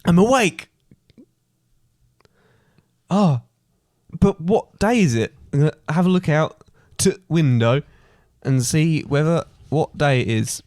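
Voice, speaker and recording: Comic Scrooge, man acting: higher-set voice, bumbling, hesitant, cheerful, improvised feel, dry recording. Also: lively intonation, takes it slowly, long pauses, a little mellow, dry recording. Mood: bumbling, eager. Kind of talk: ad-libbed comic pantomime.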